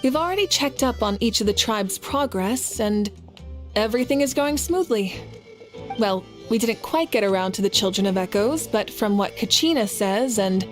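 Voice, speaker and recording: A woman voicing a confident young woman in a game cutscene, relaxed and friendly as she lays out plans. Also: medium pitch, normal speed, faint music behind, lightly acted.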